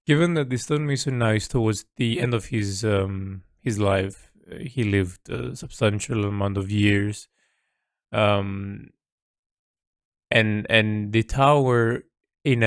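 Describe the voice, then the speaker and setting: A man who is an artist, recording a reflective, unscripted audio diary in a halting manner, repeating words as he thinks aloud. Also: fairly low pitch, no echo, studio quality. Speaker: artist keeping an audio log.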